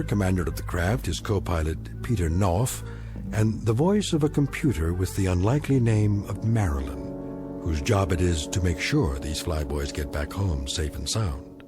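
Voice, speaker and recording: Low, expressive male drama narrator. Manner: measured, wry, ominous. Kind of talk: dramatic scripted narration for an anthology radio drama.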